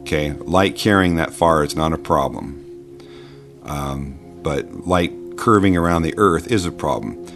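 A man giving an informal explanatory voice-over, conversational and halting, patiently reasoning through his points. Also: sits low. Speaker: video narrator explaining science.

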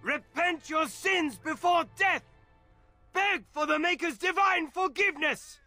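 A man playing a frantic doomsaying zealot in a fantasy video game, ranting in fervent, declamatory shouts. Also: very high-pitched, measured pace, dry recording, soft background music, full character voice. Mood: fervent warning.

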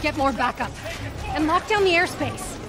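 An actress playing an urgent, commanding police captain in a video game, giving clipped orders. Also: female voice, high voice, animated, moderate pace, loud music behind the voice, a loud crowd.

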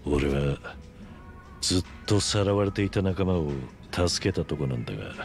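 A man's voice: deep voice, expressive, normal speed, creaky, soft background music. A man voicing a stoic, blunt middle-aged tough fighter in a video game cutscene. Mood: reserved. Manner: terse, blunt, reserved.

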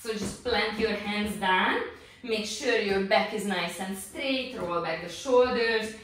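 A woman in her forties guides exercises in a steady, unhurried voice, pausing on fillers. Her voice bounces around a very echoey home studio and sounds far from the camera. Her pitch sits in a plain middle range. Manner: calm, unhurried cueing with many fillers. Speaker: pilates instructor.

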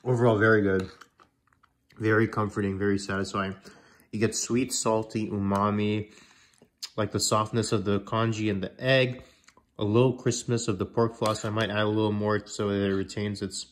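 A man's voice: on the low side, level tone, normal speed. A man with a cold, congested, casually chatting and rambling through a home cooking vlog in his kitchen. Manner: chatty, rambling, self-correcting. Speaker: food vlogger.

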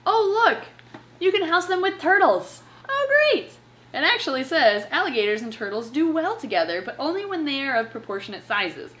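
A young woman chatting casually and reading aloud as a reptile channel presenter, with an animated, playfully sarcastic manner. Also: sits high, moderate pace. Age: young adult. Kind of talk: casual chat with read-aloud passages and commentary. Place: living room couch at home, indoors.

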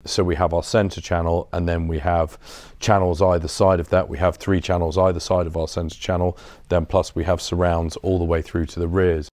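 A man in his thirties with a deep voice gives an informal, explanatory product tour. The sound is very dry, from an acoustically treated showroom. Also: level tone, fairly quick, darkish tone, no room sound. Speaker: business development director giving a showroom tour.

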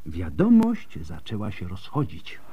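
A man's voice: sits low, huge pitch swings, a little slow, dull audio, background noise, heavily acted. An actor playing a shrewd, skeptical old male doctor in a radio drama. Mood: thoughtful, measured.

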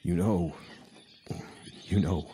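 Man playing a very deep-voiced, haunted drinker. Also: drawn-out words, warm tone. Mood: bitter, ominous. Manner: brooding, theatrical storytelling. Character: haunted, bitter, hard-drinking male guitarist telling his tale. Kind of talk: noir radio drama monologue. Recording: live stage recording with background noise.

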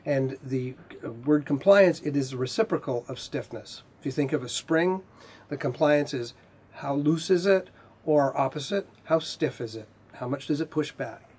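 A man in his fifties giving a technical lecture in a measured, explanatory way with pauses mid-sentence, his voice slightly husky. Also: on the high side, darkish tone. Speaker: instructor, R&D manager. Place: indoor video studio with whiteboard.

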